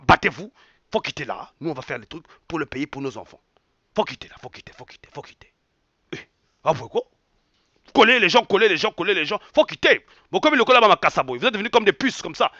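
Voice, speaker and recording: Fired-up man ranting, lively pitch. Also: no room sound, muffled recording. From Republic of the Congo. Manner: angry, emphatic, repeats phrases, drops Lingala words. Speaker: online commentator. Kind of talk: heated evening rant to camera.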